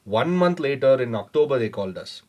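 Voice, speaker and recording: A man, a startup founder on a podcast, telling his story in a measured way with lots of fillers and hedges. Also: mid-range voice, expressive, darkish tone. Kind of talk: casual interview storytelling.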